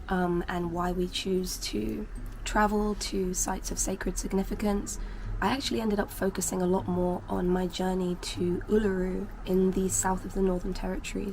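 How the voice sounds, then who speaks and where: A woman from England, hosting her channel in a casual vlog introduction; her voice is husky and sniffly from a cold, and she talks in an earnest, reflective way. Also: on the low side, a little mellow. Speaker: channel host. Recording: clear background noise.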